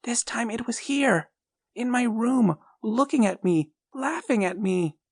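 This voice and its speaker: A frightened little boy pleads in a high, tearful voice that sits far above the reader's own, as a man puts him on for a storybook. The recording is dry and close. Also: expressive, measured pace, no echo, full character voice. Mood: insistent. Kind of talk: storybook reading.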